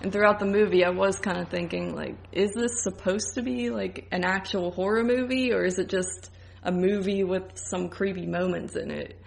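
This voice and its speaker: A woman's voice: mid-range voice, fairly even intonation, normal speed, gravelly. A woman podcast co-host in a casual film review chat, speaking informally and loosely, with lots of filler "like". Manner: informal, hedging, frequent "like".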